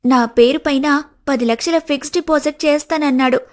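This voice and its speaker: An actress plays a young woman in a cartoon story, coy and materialistic, with a cutting tongue. Her voice is clearly high. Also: fairly quick, darkish tone, natural voice. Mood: scornful. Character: coy, teasing, money-minded, scornful young woman. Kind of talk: scripted cartoon story dialogue.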